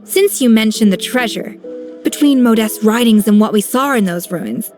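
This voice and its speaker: A woman voicing a composed grown-up master chef in a video game, calm and gently instructive like a mentor. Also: a little high, moderate pace, natural voice. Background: soft game music.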